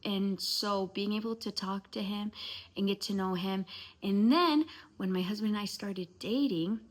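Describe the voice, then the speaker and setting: A young woman from the United States, a family vlogger, chatting casually to camera and rambling through a story in quick runs with soft, hushed stretches. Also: medium pitch. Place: home indoors, then an outdoor house build site.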